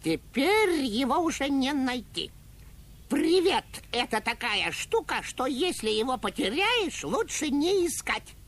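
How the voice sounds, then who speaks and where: Pedantic parrot, high-pitched, animated swings, bossy, didactic, self-important, children's audio play. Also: unhurried, full character voice. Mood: instructive. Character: bossy, pedantic, know-it-all male parrot.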